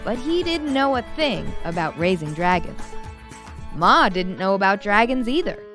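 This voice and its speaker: Animated woman reading a children's storybook. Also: mid-range voice, normal speed, faint music behind. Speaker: children's book narrator. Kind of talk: animated read-aloud storytelling.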